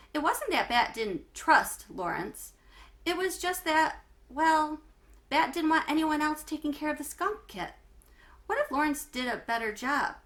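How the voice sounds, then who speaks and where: A woman with a very bright, forward voice reads a children's story aloud in a teacherly way. Her pitch sits a little high, and she phrases expressively, leaning on the important words. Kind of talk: read-aloud storytelling for schoolchildren.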